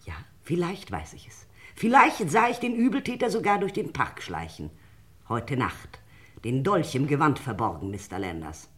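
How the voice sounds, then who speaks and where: Stern female household servant: very deep for a woman, dramatically inflected, insinuating, ominous. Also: very animated, fairly bright tone, full character voice. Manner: deliberate, pointed, ominous. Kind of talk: theatrical German radio crime drama acting.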